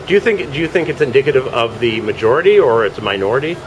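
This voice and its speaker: A man's voice: mid-range voice, animated, fast talker, highs cut off. A man conducting a street interview, asking short neutral questions. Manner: brief neutral prompts. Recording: clear street noise behind.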